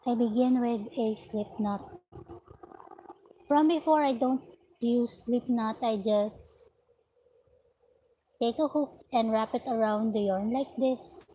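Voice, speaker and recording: A woman vlogging about her crochet work in an unhurried, chatty way, her voice slightly raspy. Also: on the high side, warm tone, slight background noise, rough recording. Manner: unhurried, chatty, talks while working. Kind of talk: slow casual vlog narration while crocheting. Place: at home, indoors.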